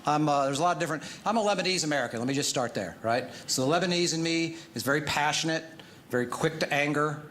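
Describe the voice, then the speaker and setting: A man's voice: fairly high pitch, quick pace, a bit bright, slight background noise. A man doing a stand-up comedy set, chatty and conversational, often tagging his lines with "right?", recorded live with the audience laughing. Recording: live club recording played on radio.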